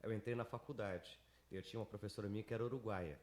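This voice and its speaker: A man telling a lively anecdote on a school podcast. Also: on the low side, fast, roomy sound. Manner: lively storytelling, animated quoting. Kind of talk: anecdotal casual chat.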